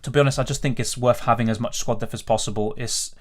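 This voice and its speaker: A man's voice: medium pitch, steady tone, fast talker. A man from Argentina hosting a fantasy football livestream, casually reading out and answering viewer questions in a conversational, off-the-cuff way as he thinks aloud.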